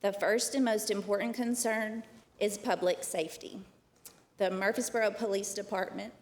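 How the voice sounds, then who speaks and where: A soft-spoken woman, a program director, giving a formal prepared presentation to a county committee. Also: medium pitch, big-room sound. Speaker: executive director of a downtown program. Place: county committee meeting room.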